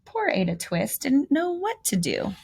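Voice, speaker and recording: A woman's voice: sits high, wildly swooping pitch, measured pace, creaky, a little mellow, breathy edge. A woman reading a children's picture book aloud with theatrical emphasis and little asides to young listeners. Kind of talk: expressive read-aloud storytelling.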